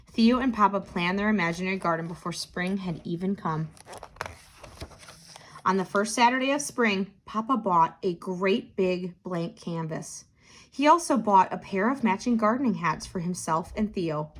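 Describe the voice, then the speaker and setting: A woman narrating a picture book for children, expressive and deliberate, lingering on key words. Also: medium pitch. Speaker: tutor reading a children's book aloud.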